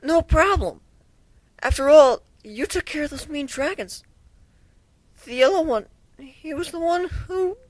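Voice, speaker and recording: A man voicing a meek, excitable little girl dragon in a childlike way for a story reading. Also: extremely high pitch, measured pace, long pauses, no room sound, pitched above the performer's own voice, low-quality recording. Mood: meek, small.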